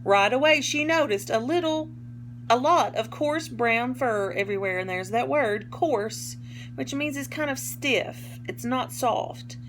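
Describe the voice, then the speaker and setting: A woman with a fairly high voice reads a children's story aloud in an expressive, teacherly way. She stops to explain words simply. There is some faint background noise. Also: measured pace. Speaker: teacher reading a picture book aloud.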